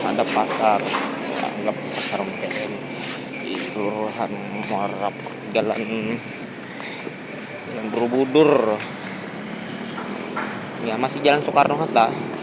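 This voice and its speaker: A man's voice: lowish voice, steady tone, takes it slowly, pauses often. A man giving a casual street tour commentary, rambling and restarting his words as he goes along a busy city road. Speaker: vlogger touring a city. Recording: loud road noise outdoors. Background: loud traffic noise.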